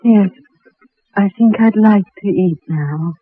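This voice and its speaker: A scared worn out wife in an old radio play, breathy n husky, tinny dry sound. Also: female voice, mid-range voice, slow pace, no echo, soft background music. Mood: weary. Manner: hesitant, pleading. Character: frail, frightened, exhausted young wife. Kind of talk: old-time radio drama acting.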